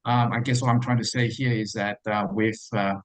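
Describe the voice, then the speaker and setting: A man speaking as a company finance executive, answering investor questions in a halting, hesitant way with repeated words. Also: on the low side, flat delivery, no echo.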